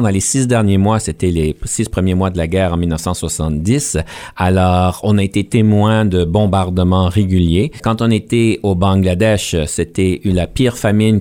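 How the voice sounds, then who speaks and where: Low-voiced man, neither Canadian nor French accent. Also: a little mellow. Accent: mid-Atlantic French, neither Canadian nor French. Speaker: leadership coach and podcast host, here the guest. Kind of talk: reflective interview answers.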